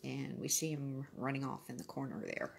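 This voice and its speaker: A woman's voice: very deep voice, lively intonation, moderate pace, creaky, slight background noise. A woman reading a children's story expressively, adding brief casual asides.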